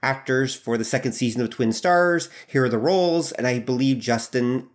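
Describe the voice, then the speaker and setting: A man's voice: mid-range voice, normal speed. A man who produces audio dramas, a guest on a podcast, explaining things fluently in casual conversation.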